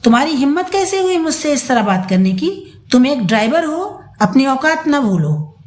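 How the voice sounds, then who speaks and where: A scheming, short-tempered young wife in an audio story, acted by a woman storyteller. Her voice is fairly high and very animated, with an imperious, emphatic delivery. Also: moderate pace, roomy sound. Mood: haughty anger.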